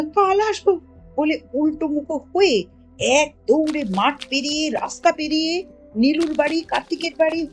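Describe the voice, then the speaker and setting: A woman reading a children's story aloud as an expressive storyteller. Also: sits high, low-quality recording. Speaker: storyteller, audiobook reader.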